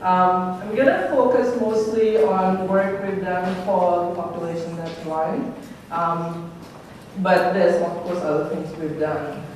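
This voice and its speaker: A woman's voice: lowish voice, steady tone, slow, darkish tone, slight background noise. A woman from Sri Lanka, a robotics researcher giving an earnest conference talk with many ums and uhs. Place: lecture hall. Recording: echoey hall from far off.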